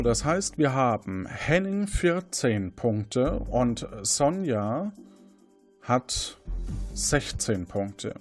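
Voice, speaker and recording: A man hosting a quiz, moderating a game show and explaining questions and points. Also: fairly high pitch.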